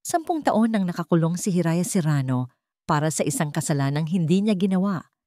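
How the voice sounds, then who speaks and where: A woman's voice: lowish voice, expressive, a little fast, warm tone, no echo, clean studio sound, close to an everyday voice. A woman voicing a bold young heir in a story, declaiming accusations against a family. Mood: accusing.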